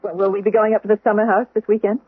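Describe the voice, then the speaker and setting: Husky, plain-spoken housekeeper played by a woman. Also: medium pitch, flat delivery, very fast, lightly acted. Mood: brisk, scolding. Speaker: actor in radio drama. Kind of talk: old-time radio drama acting. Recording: muffled, dry vintage radio recording.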